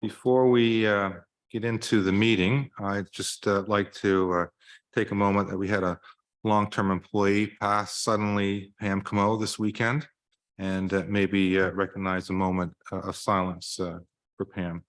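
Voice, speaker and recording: A deputy mayor chairing a town council meeting, speaking haltingly with many pauses. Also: male voice, fairly low pitch, flat delivery. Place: council chamber.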